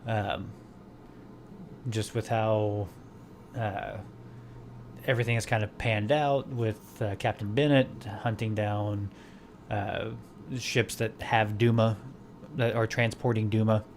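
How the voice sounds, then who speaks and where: A man's voice: on the low side, little pitch movement, slow, pauses often, slight background noise. A man hosting a podcast in casual chat, rambling with many fillers and restarts. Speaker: podcast host.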